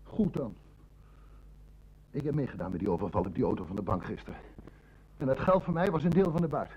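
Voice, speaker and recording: An actor with a Netherlands Dutch accent playing a nervous, indignant small-time crook in an old radio drama, protesting in an agitated way. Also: male voice, medium pitch, very animated, brisk, a little mellow, a little hiss or hum. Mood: resigned, confessing. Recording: dull old radio-play recording.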